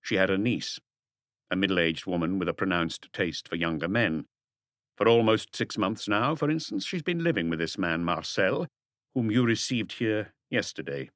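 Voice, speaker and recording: A man voicing a shrewd police detective in an audiobook, speaking in a quiet, casual, measured way. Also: deep voice, lively intonation, dry recording, clean studio sound. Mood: calm, probing. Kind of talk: audiobook character dialogue.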